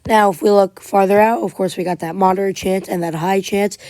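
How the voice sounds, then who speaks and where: A woman talks through a tropical weather update in a casual way. Her voice is wearing thin, and she keeps clearing her throat and stumbling into restarts. The sound is dry and close, with no room in it. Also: medium pitch, fairly even intonation, mellow, no room sound. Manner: halting, many restarts and fillers. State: losing voice, frequent throat clearing. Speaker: amateur weather forecaster.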